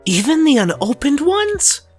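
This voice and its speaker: A woman's voice: high-pitched, big pitch swings, a little slow, darkish tone, faint music behind, pitched above the performer's own voice. A woman voicing a cheerful, teasing teenage boy sorcerer in a fan audio drama. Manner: playful, teasing.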